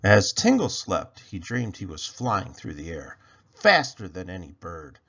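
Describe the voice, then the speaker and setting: A man with a gravelly, husky voice narrating a children's story in an expressive read-aloud style. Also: deep voice, a little slow, highs cut off. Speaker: storybook reader.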